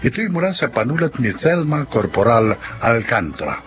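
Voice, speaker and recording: Animated male radio drama announcer. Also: medium pitch, moderate pace. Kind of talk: scripted radio drama narration and credits. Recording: dull audio under clear music. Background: music.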